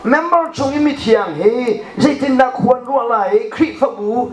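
A middle-aged man preaching a sermon into a handheld mic in a church hall, animated and often laughing. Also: very high voice, moderate pace. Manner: animated, gesturing with one hand, often laughing. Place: church hall with a projection screen. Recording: echoey hall, recorded from far off.

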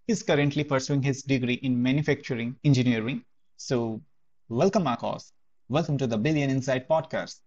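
A man's voice: medium pitch, fairly quick, darkish tone, highs cut off. A man hosting a podcast, introducing a guest and asking short questions with frequent hums. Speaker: podcast host. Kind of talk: interview questions.

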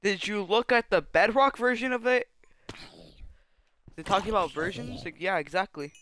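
A man's voice: sits high, expressive, normal speed, a bit bright. A young man streaming a block-building game, chatting casually to his viewers, rambling and restarting his sentences. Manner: rambling, chatty, trips over restarts while talking to chat. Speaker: live streamer. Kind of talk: casual gameplay commentary.

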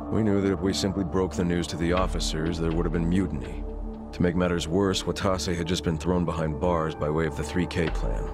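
A man playing a stern, formal yakuza clan chairman in a video game, speaking gravely and deliberately. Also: low-pitched, natural voice. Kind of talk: video game cutscene acting.

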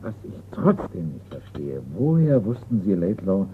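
A man's voice: fairly low pitch, big pitch swings, normal speed. An actor playing a puzzled, inquisitive male investigator in a radio crime drama. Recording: muffled phone-like radio recording, faint noise.

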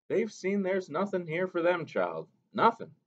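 A man's voice: sits high, very animated, unhurried, fairly bright tone, pitched above the performer's own voice, heavily acted. A man voicing a devout older woman, a doting mother who speaks in a fervent, lilting way. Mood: urgent. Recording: dull lo-fi recording.

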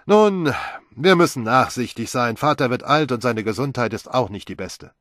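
A man's voice: mid-range voice, lively intonation, normal speed, a bit bright, dry recording, studio quality, voice pushed up, close to an everyday voice. A man voicing a stiff, proper middle-aged husband who speaks in formal, stuffy phrases and stammers when flustered. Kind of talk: audiobook character voice.

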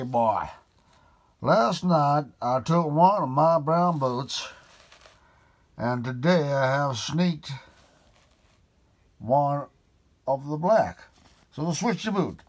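A man voicing an indignant, boastful American gentleman who raises his voice higher and louder. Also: animated, very slow, long pauses. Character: blustering male American nobleman, quick-tempered.

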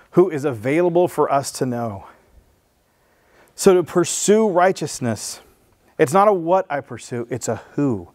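A man's voice: mid-range voice, darkish tone. A man in his thirties, a pastor, preaching to his church in an earnest, conversational way, on a headset mic. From San Diego, USA. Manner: earnest, conversational preaching with restarts and rising emphasis. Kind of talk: sermon. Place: church stage with blue lighting.

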